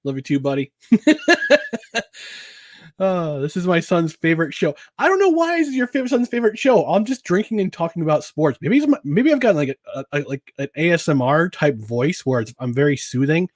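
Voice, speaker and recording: A man's voice: a little high, big pitch swings, brisk, darkish tone, no echo, studio quality. A man hosting a casual solo podcast about sports and beer, rambling with chuckles, filler words and restarts. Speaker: podcast host.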